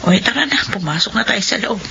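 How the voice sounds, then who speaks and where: Caring Filipino mom, middle-aged, mid-pitched, homely radio drama delivery, noisy background. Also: female voice, lively intonation, fast talker. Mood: caring, drowsy. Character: caring, sleepy mother minding her household. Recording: dull lo-fi audio, clear background noise.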